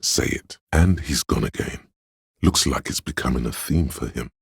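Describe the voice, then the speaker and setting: A man playing the pretentious, wry inner voice of an art-loving detective in a game, narrating in a theatrical, deliberate, literary manner. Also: no echo, studio quality, exaggerated voice. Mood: grandly coaxing, then wry, haughty. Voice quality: very deep, gravelly, husky. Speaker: game narrator voicing a character's thoughts.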